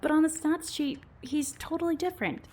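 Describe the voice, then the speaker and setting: A woman's voice: high-pitched, a little fast, warm tone, slight background noise, natural voice. A woman playing a matter-of-fact dungeon master explaining rules firmly in a comedy audio drama. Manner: explanatory, dryly firm. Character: dry, matter-of-fact female tabletop game dungeon master.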